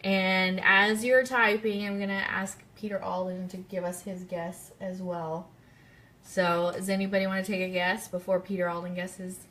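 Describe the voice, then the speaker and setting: Bright-voiced woman hosting a live quiz. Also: mid-range voice, flat delivery, normal speed. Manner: chatty, interactive, addresses listeners directly. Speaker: radio show host.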